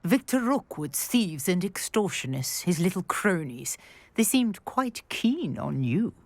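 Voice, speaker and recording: A woman playing a brisk, wry historian and archaeologist in a video game, articulate and full of curiosity. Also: lowish voice, big pitch swings, darkish tone, dry recording, heavily acted. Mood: wry, matter-of-fact. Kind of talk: video game character acting.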